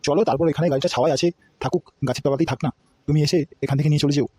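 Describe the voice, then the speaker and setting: A man's voice: a little high, steady tone, very fast, a little mellow, breathy edge, no echo. A man voicing a scheming, foul-mouthed servant in a comedy cartoon, sly, crude and grumbling. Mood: coaxing.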